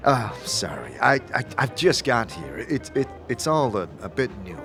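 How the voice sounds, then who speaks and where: An awkward male wanderer acted by a man in a fantasy audio drama. He speaks at a middling pitch, halting and stammering as if unsure of himself. Music plays behind him. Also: big pitch swings, gravelly, fairly bright tone.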